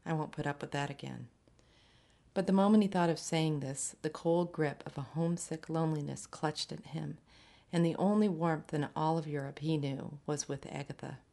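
A woman reading a literary short story aloud in a measured, scripted narration. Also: low-pitched, fairly even intonation, creaky, warm tone. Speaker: story reader.

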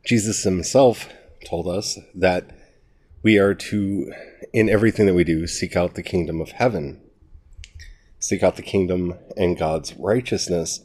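Slow, deep-voiced man with a head cold. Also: darkish tone. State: has a cold, gravelly and nasal. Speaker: writer teaching a Bible study series. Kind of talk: casual spoken teaching.

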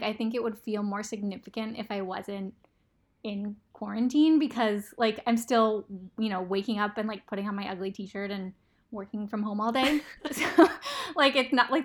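A woman guesting on a podcast, talking in a relaxed, reflective way with a slightly raspy voice and plenty of fillers. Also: a little high. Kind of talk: casual chat.

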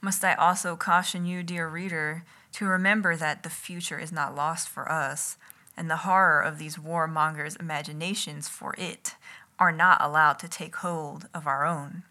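Woman, lower-pitched, crisp bright tone, ASMR read-along, deliberate literary delivery, wry, close-miked at home. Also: fairly even intonation. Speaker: writer reading own missive aloud. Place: home kitchen. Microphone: close enough to catch breath and lips.

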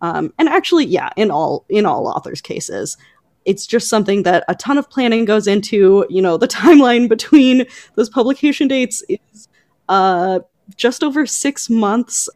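A woman podcast host with a mid-range voice recorded very dry. She talks in a conversational, explanatory way, pausing now and then to choose her words. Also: mid-range voice, lively intonation, darkish tone, no echo. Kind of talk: conversational retelling of book news.

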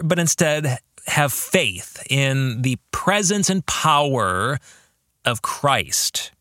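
Bright-voiced man, slow reflective podcast talk. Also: fairly high pitch, no room sound, clean studio sound. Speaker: podcast host and author discussing his book. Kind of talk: reflective book commentary, addressing the listener directly.

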